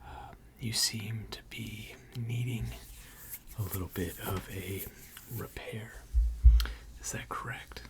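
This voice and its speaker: A person whispering an ASMR repair roleplay in a breathy, gentle and reassuring way, with unhurried, hesitant pauses. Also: low voice, steady tone. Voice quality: breathy, mostly unvoiced whisper. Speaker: ASMR creator role-playing a robot repair technician.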